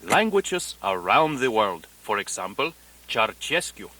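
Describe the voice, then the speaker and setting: A man playing an earnest language teacher in a radio parody, enunciating deliberately in mock foreign phrases. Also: lowish voice, sing-song, noisy background. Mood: instructive. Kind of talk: parody of a broadcast language lesson.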